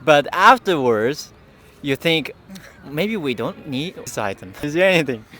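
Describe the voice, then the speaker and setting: A man's voice: a little high, lively intonation, measured pace, fairly bright tone. A man interviewing people on the street, asking simple questions and giving many short backchannel replies.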